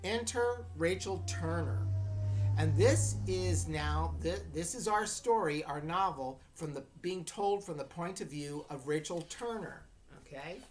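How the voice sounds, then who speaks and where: Low-pitched, slow-paced woman teacher. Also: darkish tone. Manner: explanatory, checks understanding with questions. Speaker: English teacher. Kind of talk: dramatic classroom read-aloud with questions to students.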